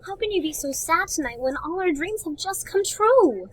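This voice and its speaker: A woman's voice: very high-pitched, lively intonation, normal speed, soft background music. A woman playing an affectionate, worried young bride in a radio play, tender and theatrical. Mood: tender concern. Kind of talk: amateur radio-play style acting.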